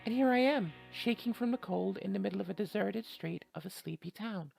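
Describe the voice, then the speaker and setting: Gravelly, anxious young woman narrating a mystery as a reader voices her. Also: mid-range voice, fairly quick, darkish tone, faint music behind. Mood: earnest, uneasy. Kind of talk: scripted first-person visual novel narration.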